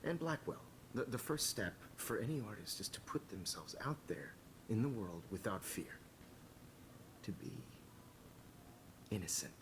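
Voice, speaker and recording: A man playing an encouraging, slightly pretentious photography teacher in a video game, with a measured, hesitant, lecturing manner. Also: lowish voice, very animated, background noise. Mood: flattered, encouraging.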